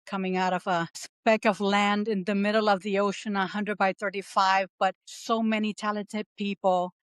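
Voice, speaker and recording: A woman's voice: medium pitch, flat delivery, smooth, no room sound. Earnest woman telling her story. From Puerto Rico. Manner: earnest storytelling with frequent "um" pauses. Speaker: guest. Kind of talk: podcast interview.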